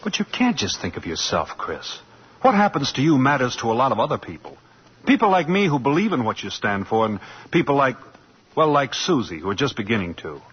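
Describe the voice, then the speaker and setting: An actor playing an earnest, determined young lawyer in 1940s radio-drama style, persuasive and loyal. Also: male voice, medium pitch, big pitch swings, brisk, breathy edge. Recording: dull lo-fi 1940s radio broadcast with faint hiss.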